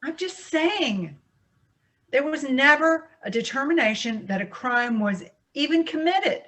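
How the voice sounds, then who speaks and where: Woman as Margaret, defensive and very expressive. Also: high voice, unhurried. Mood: indignant. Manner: hedging, formal, defensive. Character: Margaret, defensive, evasive, embittered divorced woman. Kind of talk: staged play reading.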